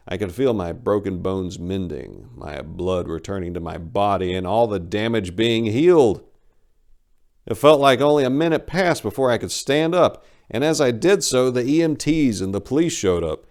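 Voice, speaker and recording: A man giving an expressive, theatrical reading of a first-person short story as its narrator. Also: fairly low pitch.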